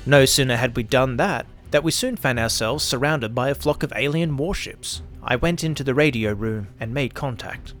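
A man's voice: medium pitch, normal speed, few pauses, fairly bright tone. A man reading a scripted first-person pulp science fiction story as narrator. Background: faint music.

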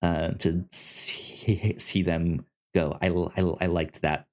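Male podcast co-host, low voice, casual conversational delivery, halting and stammering over word starts. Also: level tone, a little mellow. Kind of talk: casual discussion of an audio drama.

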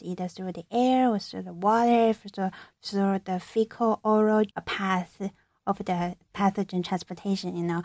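A young woman researcher answering interview questions in a halting way, with many fillers and restarts. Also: mid-range voice, a little mellow, dry recording. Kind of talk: hesitant conversational answers.

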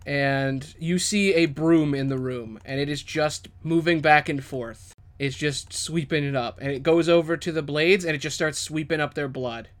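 High-voiced man narrating as game master. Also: moderate pace, few pauses. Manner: dramatic, descriptive storytelling. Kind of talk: improvised tabletop game narration.

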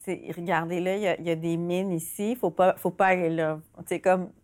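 Animated Québécoise interviewer woman. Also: lowish voice, brisk. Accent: Quebec French. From Quebec. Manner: animated, emphatic, warm encouragement. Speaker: interviewer host. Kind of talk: engaged conversational interview.

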